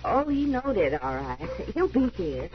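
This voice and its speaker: A woman's voice: on the low side, very animated, measured pace. An actress playing a loyal, stubborn frontier woman with a rural American accent, insistent and defensive, in a radio western. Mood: defensive, anxious. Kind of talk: old-time radio western drama acting. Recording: muffled old radio broadcast, faint noise.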